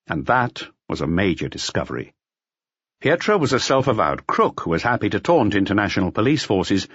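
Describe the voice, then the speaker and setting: A man reading a mystery novel as an audiobook narrator in an expressive, scripted style. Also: lowish voice, no echo. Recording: slightly dull, lo-fi audio.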